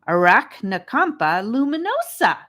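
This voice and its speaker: An eager young boy from a children's storybook, acted out by a woman, pitched higher and proud of what he knows. He explains facts in a knowing, matter-of-fact manner. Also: sing-song, measured pace, fairly bright tone.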